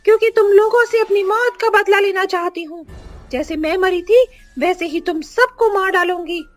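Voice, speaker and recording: A woman's voice: very high-pitched, moderate pace, faint music behind. A woman voicing a vengeful, gloating witch ghost in a cartoon, with a theatrical, taunting delivery and cackles. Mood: gloating menace. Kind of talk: exaggerated Hindi cartoon horror voice acting.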